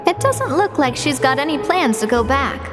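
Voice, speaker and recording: A woman voicing a composed young woman who explains things in a measured way. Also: high voice, big pitch swings. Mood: explaining. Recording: game audio with loud music.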